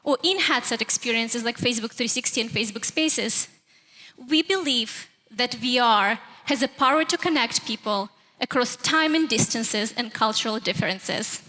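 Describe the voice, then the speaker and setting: A woman's voice: on the high side, moderate pace, sharp tone. A woman with a Russian accent giving a prepared conference talk as a presenter in a large hall. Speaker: presenter at a youth tech summit. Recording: echoey hall, long reverb.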